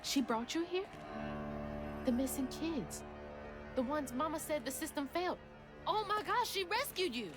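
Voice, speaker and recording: A woman's voice: high-pitched, expressive, normal speed, pauses often. A woman acting as a determined, emotional young woman searching for her mother in a video game cutscene. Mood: urgent, pleading. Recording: game music behind the voice.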